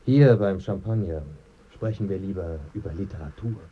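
Dark-voiced, teasing bon vivant. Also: male voice, sits low, moderate pace, husky, muffled recording. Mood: jovial, evasive. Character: dead writer friend, bantering, evasive, pleasure-loving man. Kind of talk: German radio play dialogue.

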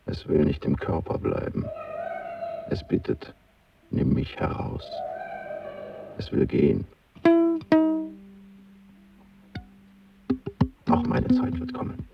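An actor playing a weary, idealistic artist speaking his introspective inner diary in a literary radio play, in measured poetic phrases. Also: very deep voice, steady tone, gravelly, distant mic, lo-fi sound, over loud music, heavily acted. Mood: pained, hushed.

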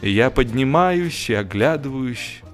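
A man narrating a humorous literary story in an expressive, theatrical way, with dramatic pauses and emphasis. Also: medium pitch, faint music behind. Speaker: audiobook narrator.

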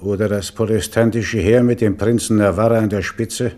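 A stern, pious admiral, played by a man in a 1970s radio drama. His voice is low and warm, and he speaks with measured solemnity. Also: fairly even intonation, natural voice. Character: stern, pious old admiral and military leader.

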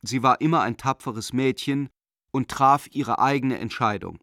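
An actor playing a middle-aged, self-justifying German banker turned Nazi believer, reading a letter in a controlled, formal way in a radio play. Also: male voice, mid-range voice, normal speed, fairly bright tone, no echo, clean studio sound, natural voice. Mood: tense, confessional.

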